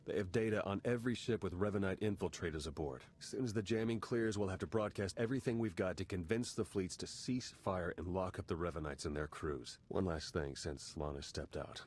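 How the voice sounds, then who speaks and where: A man's voice: sits low, fairly quick, few pauses, darkish tone, slightly rough. An actor playing a wry, weary male spy and hacker in a video game cutscene, talking dryly and with urgency. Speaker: spy and slicer.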